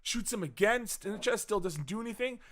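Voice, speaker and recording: A man hosting a podcast, rambling through a profane, casual movie recap. He swings from loud outbursts to muttering, and his voice is wearing out. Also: sits high, lively intonation, quick pace. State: losing his voice. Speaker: podcast host.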